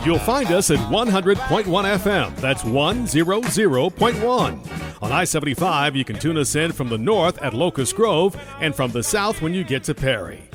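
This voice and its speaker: A man's voice: on the high side, big pitch swings, normal speed, music in the background. Upbeat male radio ad announcer. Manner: upbeat, selling, with a sung line. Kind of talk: radio ad read.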